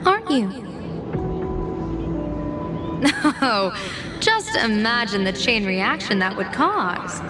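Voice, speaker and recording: Game role: teasing, enigmatic woman general, very lively intonation, loud music underneath. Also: mid-range voice, slow pace, long pauses. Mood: playful, teasing. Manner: teasing, coy, expressive. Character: playful, mysterious woman general and fortune-teller. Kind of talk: scripted video game dialogue.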